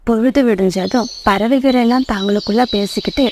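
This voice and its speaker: A woman's voice: on the high side, fairly quick, noisy background. A woman narrating a scripted children's story as the storyteller.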